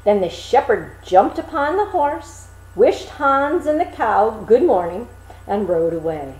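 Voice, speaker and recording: Female fairy-tale narrator: unhurried, highly expressive delivery with a roomy echo. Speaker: storyteller.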